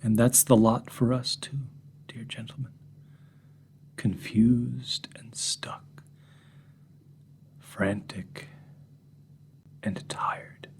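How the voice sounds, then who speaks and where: A man reading a horror story in the voice of an unhinged drunk man confessing to strangers, husky and often whispering, veering from hushed murmurs to sharp mocking rises. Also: medium pitch, fairly even intonation, extremely slow, pauses often. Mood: menacing. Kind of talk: first-person horror monologue reading.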